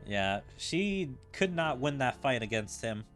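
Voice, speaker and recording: A male gaming streamer giving casual commentary in a rambling way, thinking out loud as he goes. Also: mid-range voice, very animated, a little slow. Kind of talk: casual game commentary, reading dialogue aloud. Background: game music.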